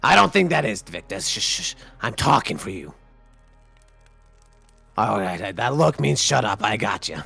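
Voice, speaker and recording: A man voices a scatterbrained vampire underling for a game roleplay. The voice is thick with creak and rasp, with faint music behind. He chatters informally in loose, repetitive rambles. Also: on the low side, sing-song, normal speed, long pauses, sharp tone, heavily acted. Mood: rambling, eager. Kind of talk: Minecraft roleplay acting.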